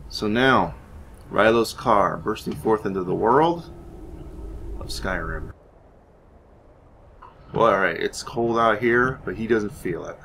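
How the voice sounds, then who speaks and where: A man's voice: lowish voice, slow, gravelly, pauses often, a bit bright, a little hiss or hum. A man hosting a gaming series, talking informally as he explains his roleplay playthrough and tells its story. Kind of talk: roleplay let's play commentary with story narration.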